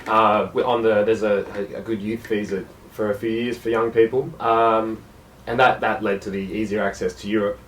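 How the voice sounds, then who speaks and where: Laid-back Australian jazz musician, low voice. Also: male voice, moderate pace, roomy sound, recorded from a distance, a little hiss or hum. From South Gippsland, Australia. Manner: relaxed, self-deprecating, hesitant with fillers. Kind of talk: casual radio interview.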